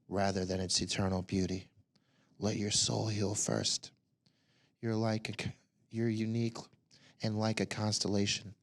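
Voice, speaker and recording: A man reciting spoken word poetry in a measured, solemn way. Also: fairly low pitch. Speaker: poet.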